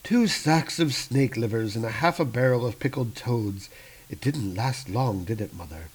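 A cruel dark elf lord, as a man puts him on while reading a comic aloud. He speaks bitterly and menacingly with a raised voice. He sounds vengeful and proud. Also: mid-range voice, moderate pace, husky, lightly acted, rough recording. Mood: cold, menacing.

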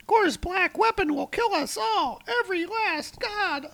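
A shrill, wailing tentacled bomb god in full panic. His voice shoots far above a normal speaking range and comes out loud and frantic. The sound is dry and close. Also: a little slow, creaky, no echo, exaggerated voice.

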